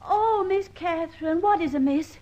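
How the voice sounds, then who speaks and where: An actress playing a flustered, deferential maidservant with folksy, fretful speech, on a dull old radio recording. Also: female voice, extremely high pitch, normal speed, mellow. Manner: fretful, folksy servant phrasing. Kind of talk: old-time radio serial acting.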